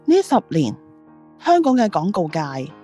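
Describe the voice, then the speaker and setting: A woman's voice: fairly low pitch, huge pitch swings, measured pace, mellow, soft background music. A woman playing a driven, proud and reflective female ad agency boss in a radio drama, with a Hong Kong Cantonese accent. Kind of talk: radio drama dialogue and monologue.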